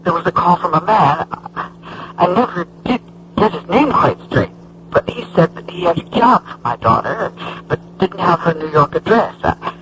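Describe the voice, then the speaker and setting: A woman's voice: lowish voice, very animated, normal speed, a bit bright, highs cut off, a little hiss or hum. An actress playing an anxious, obliging mother in a radio drama, heard over the telephone. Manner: concerned, polite, chatty. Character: anxious but obliging mother, middle-aged or older.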